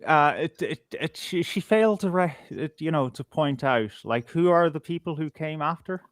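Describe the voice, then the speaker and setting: A man's voice: fairly high pitch, expressive, normal speed, dry recording, studio quality. A man co-hosting a podcast in casual chat, laid-back and blunt, with many fillers. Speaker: podcast co-host.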